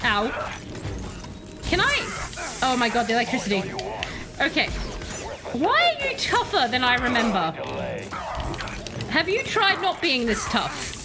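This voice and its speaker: A woman streaming a game live, chatty and excitable, answering her chat, her voice slightly hoarse. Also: high voice, measured pace, long pauses, over loud music. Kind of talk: live gameplay commentary.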